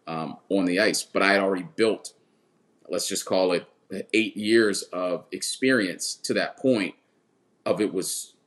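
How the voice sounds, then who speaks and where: A man in his thirties, a guest coach, talking earnestly in a casual interview and repeating words as he builds a point. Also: deep voice. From Washington, D.C. area. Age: 30s.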